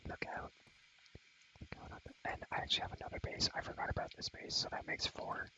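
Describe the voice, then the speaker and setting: Young guy doing whispery asmr game tour, super bright breathy sound, rambles n repeats stuff, slangy. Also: brisk, rough recording. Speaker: ASMR creator showing a game world.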